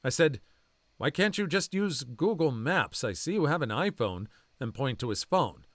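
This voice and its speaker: A man reading online stories aloud as a channel narrator, with a lively, swooping delivery. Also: a little high, normal speed, dry recording. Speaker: story narrator.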